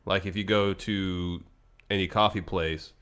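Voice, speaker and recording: A man's voice: low-pitched, fairly even intonation, measured pace, fairly bright tone. A man co-hosting a casual livestream, slightly congested with a lingering cough, reading viewer chat in an easygoing way. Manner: easygoing, reads chat aloud. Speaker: podcast co-host.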